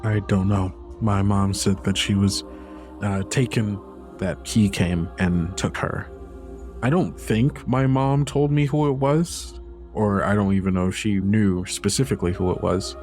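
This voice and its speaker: A man's voice: on the low side, unhurried, a little mellow, soft background music, close to an everyday voice. A man playing an uncertain, defensive young girl in a tabletop game, hesitant and questioning in casual words.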